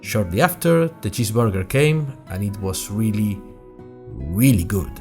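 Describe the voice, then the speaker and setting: A man's voice: fairly low pitch, a little slow, faint music behind. A man playing a curious young student journalist, narrating his adventures in a chatty, wry way as host of a fictional podcast. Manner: chatty, wry, expressive storytelling. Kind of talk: scripted first-person audio drama narration in chatty podcast form.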